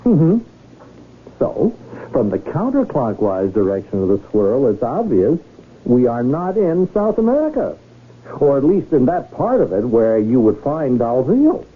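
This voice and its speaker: A man acting a shrewd, courtly lonely rich gentleman in a 1970s radio drama, with formal, florid, deliberate speech. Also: mid-range voice, big pitch swings. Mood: explaining, smug. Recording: tinny, noisy old radio broadcast.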